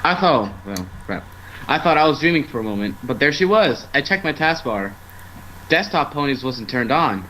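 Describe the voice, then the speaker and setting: A man reads a fan story as its narrator. His voice sits at an average pitch, with casual storytelling and occasional stumbles over the script. The amateur stream carries faint noise. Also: big pitch swings, moderate pace, a bit bright. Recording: noisy amateur online stream.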